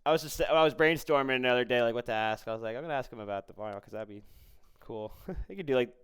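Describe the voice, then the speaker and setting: A man's voice: medium pitch, quick pace, a bit bright, clear, resonant tone. A man hosting a casual interview, talking informally and often stumbling over and repeating words. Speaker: interviewer, podcast host.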